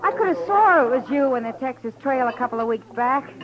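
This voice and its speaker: Saloon woman: high voice, wry, wounded pride; 1950s radio western with a crowd behind. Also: expressive, fast, muffled recording. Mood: cool, cutting. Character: proud, wounded saloon woman dressed up for society.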